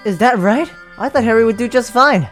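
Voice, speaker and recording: A woman playing a cocky, commanding retired judge of the underworld in an animated drama, switching between solemn and brashly sarcastic. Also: a little high, normal speed, lightly acted. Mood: amused, casual. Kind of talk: dramatic animated-story voice acting. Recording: music behind the voice.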